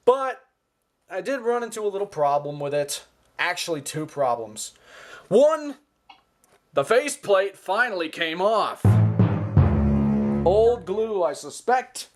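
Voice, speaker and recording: A man giving an informal, excitable show-and-tell commentary, often interjecting as he goes. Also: high voice, slow pace, long pauses, soft background music. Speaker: video host. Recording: clearly noisy home recording.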